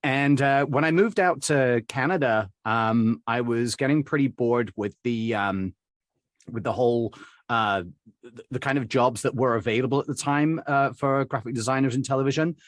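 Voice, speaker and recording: A middle-aged Englishman chats through his story as an interview guest. His voice sits at an everyday middle pitch and is recorded close and dry, with no room around it. He rambles good-naturedly, full of fillers and quick chuckles. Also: no echo. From England. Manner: chatty and rambling, with frequent fillers and small laughs. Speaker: accent and dialect coach, guest.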